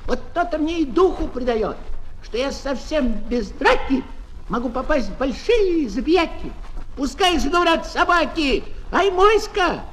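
A man voicing a small, boastful female pug in a fable, yapping, cocky and excitable. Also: extremely high pitch, a little slow, voice pushed up, heavily acted. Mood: gleeful boasting. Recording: noisy old children's record.

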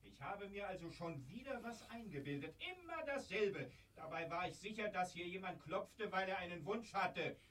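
A man acting in a radio drama as a fussy, suspicious elderly caller, grumbling querulously, heard quietly from a distance. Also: high voice, sing-song, normal speed, few pauses, heavily acted. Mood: querulous, puzzled.

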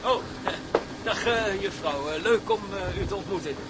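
An actor playing a shy, flustered young deckhand who stammers politely in a radio play. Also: male voice, high voice, expressive, normal speed, heavy background noise. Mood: embarrassed. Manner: stammering, hesitant. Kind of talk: dramatised radio play acting.

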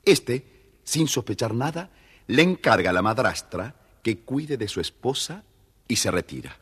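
A man's voice: mid-range voice, sing-song, unhurried, a bit bright, slightly rough. A man narrating a children's story dramatically, addressing his young listeners directly.

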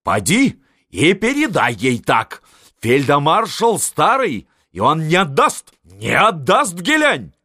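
A man's voice: on the high side, wildly swooping pitch, takes it slowly, sharp tone, dry recording, studio quality, pitched above the performer's own voice, exaggerated voice. Man playing a roaring old field marshal. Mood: furious. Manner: roaring, scolding. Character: old furious field marshal, blustering, domineering.

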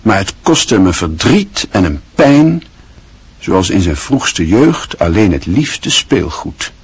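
A male narrator with a very deep voice and a strongly husky, rough texture. He reads in a measured, wistful literary style for a radio play. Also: big pitch swings.

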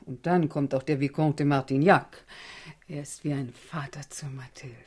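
A fallen widow with a distinctly low female voice. She is plaintive and fawning, a mercenary mother speaking formally in old radio drama style. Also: fairly even intonation, normal speed, darkish tone, husky. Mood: obsequious, plaintive. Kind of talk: 1970s German radio play acting.

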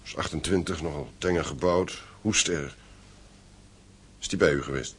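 An actor playing a middle-aged gentleman in a radio play, an anxious, gruff father with a weary, urgent manner. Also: rumbling low, normal speed.

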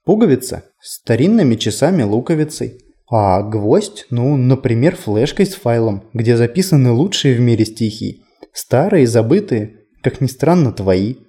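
A man's voice: mid-range voice, sing-song, normal speed, dark tone. A man voicing a whimsical, chatty male tour guide in an audiobook, conversational, playful and self-deprecating. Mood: playful, confiding. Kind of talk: audiobook character dialogue.

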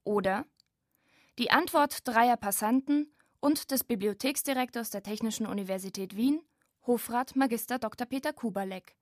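Dry, higher-pitched woman narrator. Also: normal speed, no room sound. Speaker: presenter. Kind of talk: scripted narration, announcing chapters and names.

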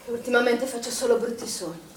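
Actress as a high-voiced, troubled middle-aged woman, echoey stage. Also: quick pace, lightly acted. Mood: uneasy, evasive. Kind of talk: Italian stage drama acting. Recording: distant echoey stage recording with background noise.

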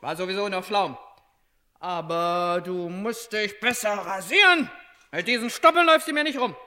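An actress playing a brash, doting yet menacing sea captain's wife in a comedy radio play, declaiming with salty sailor exclamations. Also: female voice, medium pitch, lively intonation, moderate pace, pushed and bright. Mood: gushing, bossy.

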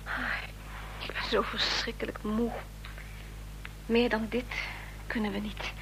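High-voiced, earnest devoted woman. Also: little pitch movement, unhurried, fairly bright tone, rough recording. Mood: exhausted, relieved. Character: devoted, anxious woman in love, quick-witted. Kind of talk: Dutch radio drama acting. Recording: faint noise and hum, old radio recording.

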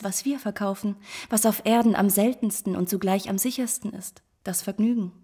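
An actress playing a sly, mercenary and scornful mistress in a German radio drama, mocking and commanding in a theatrical way. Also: female voice, mid-range voice, a little mellow, breathy edge, close to an everyday voice.

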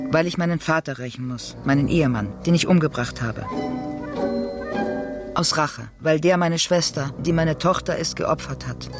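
An actor playing a brooding, fractured figure from Greek tragedy in a radio drama monologue, with halting, repetitive, self-questioning delivery. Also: male voice, on the high side, natural voice. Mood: wry, resigned. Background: music.

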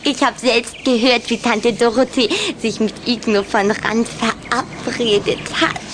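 Young vampire girl for an audio play, played by a woman: high, chirpy, self-assured, insistent, noisy track. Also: fairly even intonation, measured pace, close to an everyday voice. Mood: eager, persuasive. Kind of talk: German children's radio drama acting.

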